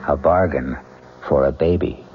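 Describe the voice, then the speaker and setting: A man hosting a 1970s radio suspense drama, narrating from a script in an ominous, measured way. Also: rumbling low, heavy background noise. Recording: dull old radio broadcast.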